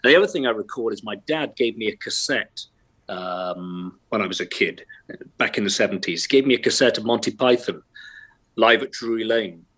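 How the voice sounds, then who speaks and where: A man's voice: on the low side, moderate pace, a bit bright, breathy edge. Welsh guy, light accent, middle-aged, chatty and self-deprecating, laughs a lot. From Wales. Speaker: actor, guest. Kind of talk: casual interview chat. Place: bedroom at home.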